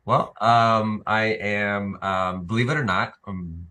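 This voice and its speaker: Deep voiced guy from italy, kinda shy, lots of ums. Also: fairly even intonation, slow, pushed and bright. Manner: hesitant, many fillers, laughs nervously. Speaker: actor and filmmaker guest. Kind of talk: interview.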